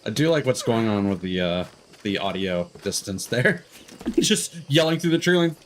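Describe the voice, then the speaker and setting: A man giving casual livestream commentary on a video game, rambling and thinking out loud as he plays. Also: on the low side, big pitch swings, normal speed, noisy background. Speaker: live streamer playing a video game.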